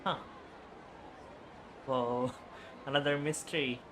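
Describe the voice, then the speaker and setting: A game livestreamer of unclear sex chatting casually and sounding tired, with loud music behind the voice. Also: mid-range voice, steady tone, slow pace, a little hiss or hum.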